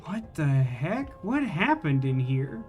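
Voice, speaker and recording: A man's voice: on the high side, very animated, takes it slowly, a little mellow, soft background music. A man doing casual live video game commentary, rambling and wry as he thinks aloud and chats with viewers.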